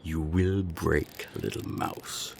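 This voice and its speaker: A man playing a menacing naga gang boss in an audio roleplay, gravelly and deliberate, with cold, taunting threats. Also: big pitch swings, a little mellow, slight background noise, heavily acted. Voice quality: very deep, gravelly. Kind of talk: audio roleplay drama acting.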